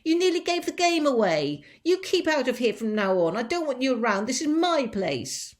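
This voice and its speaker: A woman's voice: very animated, normal speed. A woman voicing a rude, territorial male rat who shrieks and scolds, in an audiobook reading. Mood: scolding. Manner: shrill, scolding. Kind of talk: audiobook character voice.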